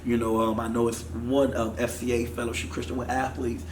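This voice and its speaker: A man in his thirties from Miami, a football coach talking in a casual interview, animated. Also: mid-range voice, brisk. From Miami, Florida. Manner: animated, gestures while talking. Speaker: football offensive coordinator. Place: studio set with sponsor backdrop. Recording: echoey room with faint noise.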